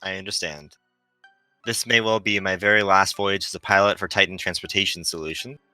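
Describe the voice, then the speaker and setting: A man voicing a gentle, earnest female android ship pilot in formal, precise speech for a visual novel read-aloud. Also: sits low, steady tone, fairly quick, clean tone, no room sound, faint music behind.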